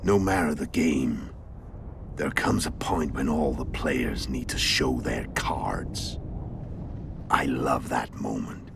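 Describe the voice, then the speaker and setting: An actor playing a hulking, cynical video game assassin, calm and menacing, with a gravelly voice and sardonic manner. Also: male voice, background noise, heavily acted. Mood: wry. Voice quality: very deep, gravelly. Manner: slow, sardonic.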